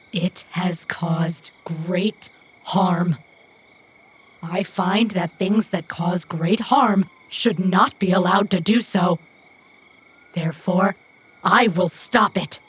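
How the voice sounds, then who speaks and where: A woman's voice: on the low side, husky, exaggerated voice. A woman playing an earnest, childlike and stubborn runner in an audio drama, with formal, literal, precise phrasing. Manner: formal, stilted, precise phrasing without contractions.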